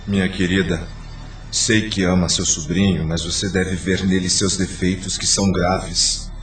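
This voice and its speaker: An actor playing a concerned husband in a radio drama, gentle but firm, earnestly admonishing. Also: male voice, sits low, little pitch movement, unhurried. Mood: gently warning. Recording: roomy, distant mic, faint noise.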